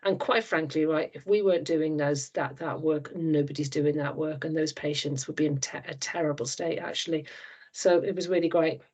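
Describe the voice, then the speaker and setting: A woman in her fifties with a Welsh accent speaks in a fairly low voice. She talks frankly and chattily as a podcast guest, often restarting her sentences. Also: steady tone, moderate pace, a little mellow, slightly rough. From South Wales. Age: 50s. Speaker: trust board chair, guest. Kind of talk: podcast interview.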